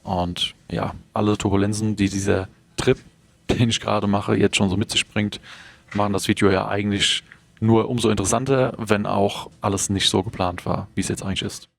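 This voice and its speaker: A man's voice: on the low side, level tone, moderate pace, creaky, no room sound. A man vlogging casually to camera, talking straight to his viewers and asking them questions. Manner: conversational, asks viewers questions directly. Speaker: travel vlogger and onboard courier. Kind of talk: casual vlog talk to camera.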